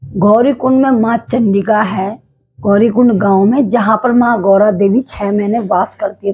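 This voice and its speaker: A woman from Uttarakhand with a slightly hoarse throat, telling a devotional temple legend to camera in a casual, hesitant way. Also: fairly high pitch, mellow, low-quality recording. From Uttarakhand, India. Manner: devout, explanatory, with frequent hesitations.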